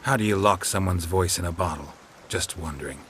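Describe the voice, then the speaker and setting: Gruff monster hunter, very low pitch, terse, deadpan, dry wit, fantasy video game. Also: male voice, fairly quick, slight background noise. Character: gruff, laconic male monster hunter, dryly wry. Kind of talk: video game character dialogue.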